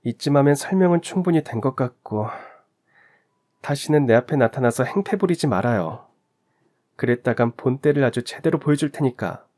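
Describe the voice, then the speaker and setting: A man's voice: medium pitch, animated, normal speed, darkish tone. A man reading a first-person story aloud in an earnest, confiding storytelling manner. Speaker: story narrator. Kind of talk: scripted first-person narration.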